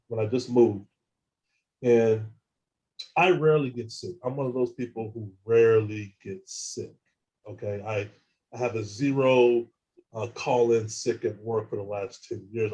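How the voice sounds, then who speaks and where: A man telling his own experiences as an interview guest, halting and often repeating words as he restarts sentences. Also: mid-range voice, warm tone, low-quality recording. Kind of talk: casual first-person account.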